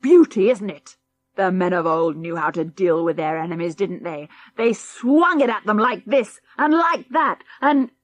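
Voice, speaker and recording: A woman voicing a boisterous old great-grandfather, loud and gleeful, in a read-aloud storybook. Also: mid-range voice, animated, unhurried, lightly acted. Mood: boastful. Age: older.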